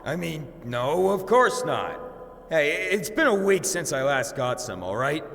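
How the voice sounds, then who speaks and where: An actor playing a brash, flippant male adventurer who is rough but caring, in a sardonic, informal way, for an audio drama. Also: fairly high pitch, very animated, measured pace, a bit bright, full character voice. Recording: long cavernous reverb.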